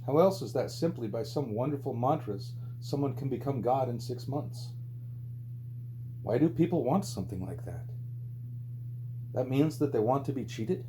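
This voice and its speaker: Guy reading a spiritual audiobook, soft-edged mellow voice, bit of hum in the background. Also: expressive, moderate pace, pauses often, rough recording. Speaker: audiobook reader. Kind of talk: scripted narration of a spiritual book. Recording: low hum and background noise.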